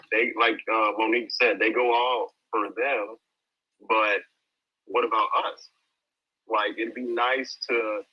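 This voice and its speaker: Low-voiced man on a dull connection. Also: slightly rough. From Ghana. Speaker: audience speaker in an open forum. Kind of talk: casual discussion.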